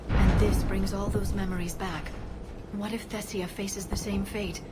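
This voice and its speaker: A woman playing an earnest alien scientist in a video game, speaking gravely with fear for her people. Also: medium pitch, little pitch movement, measured pace, loud music behind the voice, lightly acted. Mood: anxious. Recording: loud vehicle and ambient noise behind.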